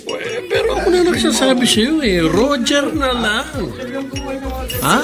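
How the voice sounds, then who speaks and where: Pushy flirty man in a radio drama, high voice, teasing n insistent, loud music behind. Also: big pitch swings, measured pace, heavily acted. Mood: flirtatious, teasing. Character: flirtatious, pushy male restaurant guest, easily irritated. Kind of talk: Filipino radio drama acting.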